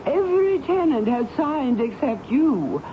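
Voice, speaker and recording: Fretful dramatic lady, high voice, genteel, drawn-out delivery, dull old radio play sound. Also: very animated. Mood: prim, hesitant. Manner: melodramatic, genteel, hesitant. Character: theatrical, fretful, pleading former actress and tenant. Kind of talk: vintage radio drama acting. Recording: dull, noisy old radio broadcast recording.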